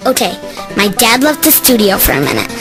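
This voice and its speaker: High-pitched female over radio music. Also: sing-song, fairly quick. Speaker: radio host's daughter. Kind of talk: casual on-air talk. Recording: music bed behind radio voice.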